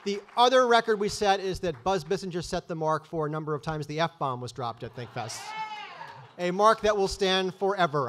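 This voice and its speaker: Middle-aged male event host, fairly high voice, easygoing stage intros at a podium mic. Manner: relaxed, with fillers and restarts. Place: conference stage, indoors.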